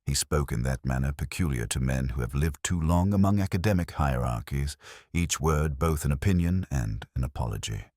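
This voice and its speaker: A man reading a literary detective story in the first person, with measured, reflective, melancholy phrasing. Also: rumbling low, expressive, darkish tone, no echo, clean studio sound. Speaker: narrator of a detective mystery story.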